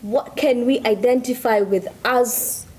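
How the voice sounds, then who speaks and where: A woman's voice: on the high side, measured pace, a little mellow, a little hiss or hum. A woman whose first language is Swahili, arguing assertively as a panelist. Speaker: discussion panelist. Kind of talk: assertive debate talk.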